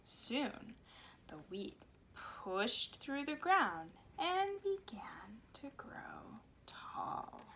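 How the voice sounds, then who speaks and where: A woman's voice: on the high side, very animated, extremely slow, vocal fry, a bit bright, whispered. A woman reading a storybook aloud to young children, expressively and with simple explanations, in a home recording. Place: cozy corner at home. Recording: faint background noise, home recording.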